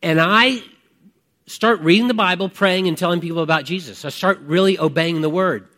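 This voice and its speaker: A man in his fifties preaching a conversational sermon, reflective and emphatic, with deliberate pauses and repeated phrases. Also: high voice, sharp tone. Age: 50s. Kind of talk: conversational sermon with personal testimony.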